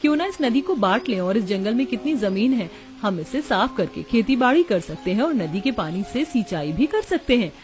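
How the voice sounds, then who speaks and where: A woman's voice: a little high, big pitch swings, moderate pace, hardly any pauses, a little mellow, faint music behind. A woman voicing a poor, practical and resourceful young village wife in a cartoon story. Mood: eager.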